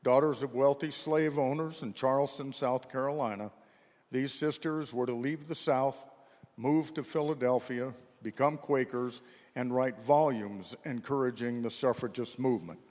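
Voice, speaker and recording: A man narrating history from a script for a staged reading, with formal, deliberate phrasing, in a reverberant hall. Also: medium pitch, a little mellow. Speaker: narrator of a readers theater. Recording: echoey hall with long reverb.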